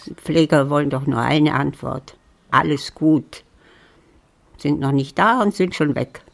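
Lonely old widow lady, kinda low n creaky, grumbles and muses drily, radio play style. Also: a little slow, full character voice. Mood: grumbling, musing. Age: older. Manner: musing to herself, wry and grumbling. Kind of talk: German literary radio drama acting.

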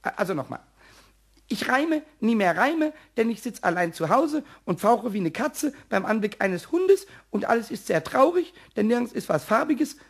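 A man playing a small, cheeky, stubborn kobold in a radio play, raspy and squeaky, stammering excitedly. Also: moderate pace, full character voice. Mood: sulky, musing. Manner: excitable, stammering, repeating words.

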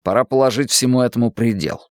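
A man's voice: medium pitch, fast, no echo, studio quality, natural voice. A man voicing a stern, wounded and unyielding father in a dramatised audiobook, speaking firmly and bluntly in exasperation. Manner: firm, blunt, commanding.

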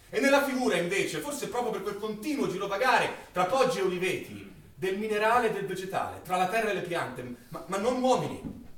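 A male actor playing an anguished storyteller in a dramatic theatrical narration of wartime atrocities, declamatory and poetic, with deliberate emphasis. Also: high voice, fairly bright tone. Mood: impassioned. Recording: echoey stage, distant mic, faint noise.

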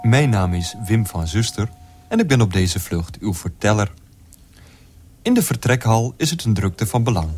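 An actor playing the formal, expressive narrator of a radio play. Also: male voice, lowish voice, moderate pace, breathy edge. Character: composed male flight narrator, formal storyteller.

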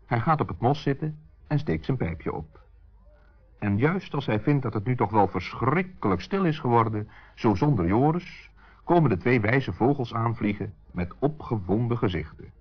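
A man acting as a gentle narrator, telling a scripted children's story expressively. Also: mid-range voice, animated, moderate pace, husky. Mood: gentle storytelling. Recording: dull, muffled old radio recording.

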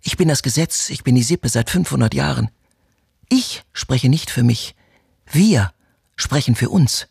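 An imperious older dowager of an imperial house, stern and formal. Her voice is gravelly and husky, recorded very dry. She speaks as the proud guardian of tradition. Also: rumbling low, expressive, unhurried, a little mellow, no echo, exaggerated voice. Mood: scornful, commanding. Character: haughty imperial mother-in-law, stern guardian of dynastic tradition. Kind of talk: satirical radio play.

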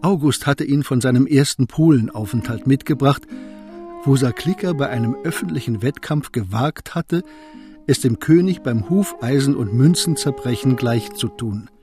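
A male narrator tells a literary story for a radio play. His voice is mellow and medium in pitch, with faint music behind the scripted narration. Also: normal speed.

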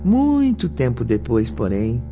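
Brazilian guy narrating radio drama, super warm mellow tone, expressive, bit muffled. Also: medium pitch, takes it slowly. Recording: dull lo-fi, faint music.

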